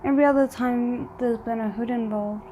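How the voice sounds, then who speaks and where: Woman reacting to a show, highish voice, thinks out loud, some noise behind her. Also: fairly even intonation, brisk, low-quality recording. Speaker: reaction video host. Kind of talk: casual reaction commentary on a drama episode.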